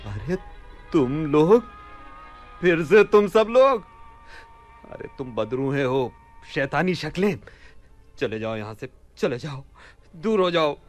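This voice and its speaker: A man playing an excitable, pleasure-loving commoner in a radio play, exclamatory and theatrical. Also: a little high, soft background music. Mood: frightened, frantic. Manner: theatrical, exclamatory, drawn-out phrases. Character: excitable, pleasure-loving, bewildered young Baghdad husband and commoner. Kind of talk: Hindi radio play acting.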